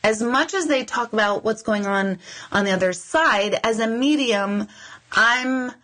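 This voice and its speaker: A woman's voice: fairly high pitch, unhurried, fairly bright tone. A woman in her forties, a medium hosting a casual live chat with viewers, talking in a rambling way full of asides and mock outrage. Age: 40s.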